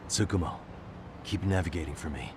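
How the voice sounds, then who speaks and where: An actor playing a determined, level-headed male detective, calm and businesslike, in a video game dub. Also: sits low, brisk, noisy background, natural voice. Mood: focused, calm. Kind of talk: dramatic video game voice acting.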